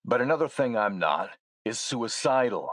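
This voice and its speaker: An actor playing a defiant, menacing warlord in a video game, making a deliberate and forceful speech. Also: male voice, mid-range voice, sing-song, no room sound, clean studio sound. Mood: defiant, ominous. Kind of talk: scripted game voice acting, speech.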